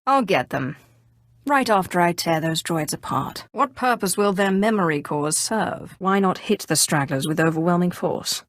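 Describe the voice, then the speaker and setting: An actress playing a cool, ambitious Sith inquisitor in a video game, formal, haughty and commanding in measured, scripted lines. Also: female voice, on the low side, no echo, clean studio sound. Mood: cool, assertive.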